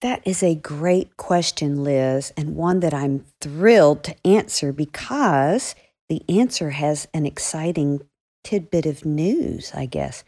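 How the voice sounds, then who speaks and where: Woman author, lowish pitch, slow pace, conversational explaining. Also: dark tone. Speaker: author answering questions.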